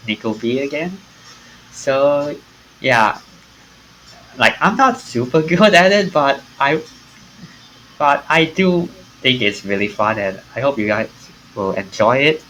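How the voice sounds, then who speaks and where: A man giving casual live stream commentary in a halting, rambling way. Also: mid-range voice, expressive, sharp tone, a little hiss or hum, rough recording. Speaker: game streamer.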